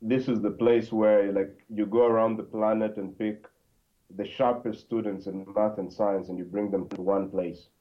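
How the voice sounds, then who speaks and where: A man from Congo, a podcast guest, telling his story in a halting way with many fillers and restarts. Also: fairly low pitch, flat delivery. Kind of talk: conversational interview. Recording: tinny phone-like line.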